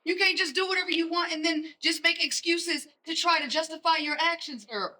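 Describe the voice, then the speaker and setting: A woman's voice: extremely high pitch, fairly quick, bright, forceful tone. A woman playing a no-nonsense American mother of a teenager in a stage play, with folksy, scolding phrasing. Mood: scolding, exasperated.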